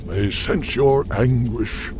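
An actor voicing a wise, solemn old bull-man chieftain in a video game, with a deep, gravelly voice and grave, measured delivery. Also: darkish tone, soft background music, close to an everyday voice.

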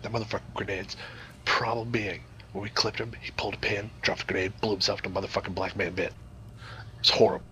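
A man's voice: on the low side, flat delivery, fast talker, pushed and bright, a little hiss or hum. A man improvising a crude comic story in a rambling, profane, theatrical way.

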